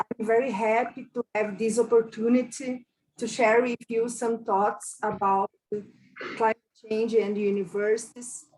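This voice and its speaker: A woman from Brazil with a Brazilian Portuguese accent, whose first language is Portuguese, giving a conference lecture in English, slow and careful with many hesitations and repeated words. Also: fairly high pitch, noisy background. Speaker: university lecturer.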